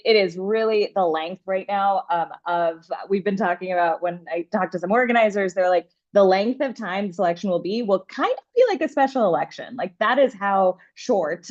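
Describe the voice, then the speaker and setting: A woman's voice: mid-range voice, a little mellow. A woman whose first language is Bengali, a campaign organizer giving an enthusiastic talk on an organizing call. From Bangladesh. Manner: fast, enthusiastic, upbeat talk with restarts. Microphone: video or phone call. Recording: dull lo-fi call audio.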